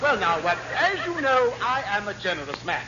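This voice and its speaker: An actor playing a smug senior naval officer with a formal, pompous manner in a classic radio sitcom. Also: male voice, high voice, very animated, moderate pace. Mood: smugly dismissive. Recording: muffled, noisy old radio comedy recording.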